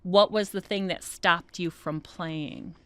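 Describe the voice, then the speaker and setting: A woman hosting a podcast interview in a relaxed, affirming way. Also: fairly low pitch, slow, clean tone, no room sound. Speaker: podcast host. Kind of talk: casual interview chat.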